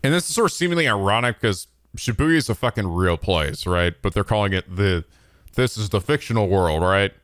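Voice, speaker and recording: Male game reactor, very lively pitch swings, sweary and casual, faint music behind him. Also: brisk, dry recording. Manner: profane, animated, blurting interjections. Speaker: reaction video host.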